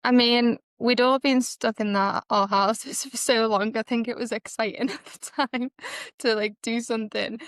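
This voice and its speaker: Smooth-voiced Liverpool woman, flat delivery. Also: on the high side, moderate pace, no echo, studio quality. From Liverpool, England. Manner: hesitant, many fillers, laughs often. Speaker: guest, costs consultant. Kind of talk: casual podcast interview.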